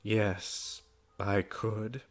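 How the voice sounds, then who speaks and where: A man's voice: lowish voice, measured pace, fairly bright tone. A man voicing a loving mother in a storybook, tender and earnest in manner. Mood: sad, solemn.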